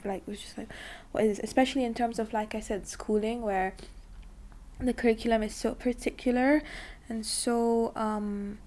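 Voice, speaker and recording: A woman's voice: fairly high pitch, steady tone, normal speed, mellow. A young woman from Dubai, talking casually in an interview, full of likes and you-knows. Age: young adult.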